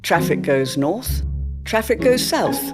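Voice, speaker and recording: A narrator with a measured storytelling delivery and a mid-range voice. Faint music plays underneath. It is an audio drama introduction. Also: mid-range voice, very animated.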